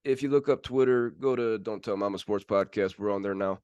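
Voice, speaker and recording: Guy doing sports news on a podcast, lowish clean voice, kinda halting, very casual. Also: darkish tone, clean tone, dry recording, dull audio.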